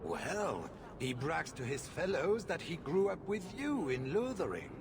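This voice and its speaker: A haughty merchant for a video game cutscene. His voice is on the high side with a lot of gritty fry, and he speaks in pompous, contemptuous, formal phrases. There is clear noise behind him. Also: wildly swooping pitch, unhurried, exaggerated voice. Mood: haughty, irritated. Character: haughty, hot-tempered male merchant, foul-mouthed and ruthless.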